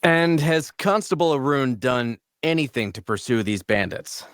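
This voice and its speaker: A man's voice: medium pitch, animated, moderate pace, fairly bright tone, smooth, dry recording, studio quality, lightly acted. Blunt male adventurer-for-hire played by a man. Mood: probing, concerned. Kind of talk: audio drama table-read dialogue.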